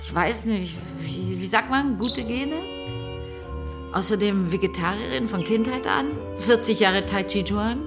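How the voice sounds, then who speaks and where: A woman's voice: medium pitch, a little slow, over music, close to an everyday voice. An actress playing a sprightly, frank therapist in her sixties in naturalistic radio drama, talking in a wry, teasing, direct way. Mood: wry, chatty. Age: 60s. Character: sprightly, frank 66-year-old female therapist.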